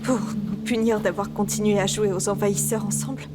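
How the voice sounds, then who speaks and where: A woman playing a reserved, uneasy teenage schoolgirl in a French video game dub, soft-spoken and hesitant. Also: mid-range voice, fairly even intonation, a little fast, close to an everyday voice. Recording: music behind the voice.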